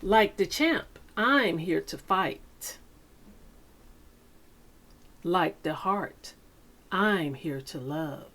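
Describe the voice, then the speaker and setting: A woman reading a picture book aloud to children like a teacher, with deliberate, dramatic phrasing and pauses. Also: lowish voice, animated, fairly bright tone. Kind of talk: expressive children's read-aloud.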